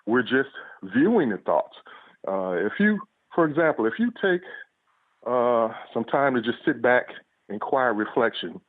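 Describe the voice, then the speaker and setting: A man speaking as an interview guest over a phone-like line, explaining things in a measured, reflective, conversational way. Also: medium pitch, very animated, no echo. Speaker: guest, police officer and author. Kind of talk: casual reflective interview talk. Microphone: phone or call line. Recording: tinny narrow phone-like line.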